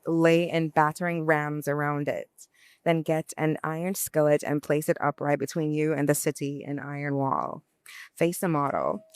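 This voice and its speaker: Female scripture reader, clear resonant voice, dry close sound, measured expressive delivery. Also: deep voice, no echo. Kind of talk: expressive scripture reading aloud.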